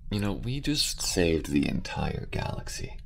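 A man acts out a roguish alien space courier for an intimate audio roleplay. The voice is extremely deep and gravelly, often soft and close to a whisper. He comes across as weary but tender toward his partner. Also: sing-song, unhurried, slight background noise, heavily acted. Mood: warm, adventurous.

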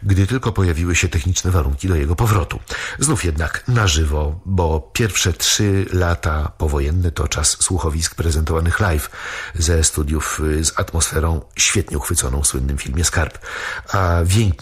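A man giving measured, formal scripted narration as a documentary narrator. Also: sits low, husky.

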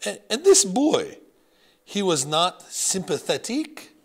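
Elderly French grandma, raised pitch, thick French accent, doting but blunt, voiced by a male reader. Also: big pitch swings, takes it slowly, full character voice. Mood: puzzled, scolding. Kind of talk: audiobook character voice.

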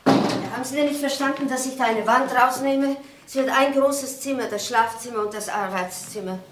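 High-voiced, brittle, stubborn wife. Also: female voice, fairly quick, fairly bright tone, slight background noise. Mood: insistent, agitated. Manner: hesitant, repetitive, insistent. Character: brittle, evasive, stubborn wife under suspicion. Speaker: actress as murder suspect.

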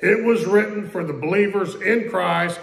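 Gravelly high-voiced male preacher, echoey church. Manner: conversational preaching, repeats questions, quotes scripture slowly. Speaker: pastor. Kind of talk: sermon. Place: church sanctuary.

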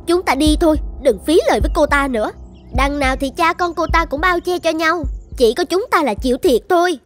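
A woman voicing a resentful young village girl in a cartoon fairy tale, speaking with sharp, sarcastic reproach. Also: high-pitched, a little fast, soft background music, lightly acted. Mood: scornful.

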